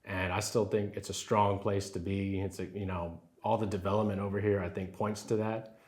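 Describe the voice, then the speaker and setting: A man's voice: sits low, little pitch movement, normal speed, darkish tone. A man, answering interview questions in an affable way, often saying "yes sir" and using fillers. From Rio Grande Valley, Texas. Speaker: title company president.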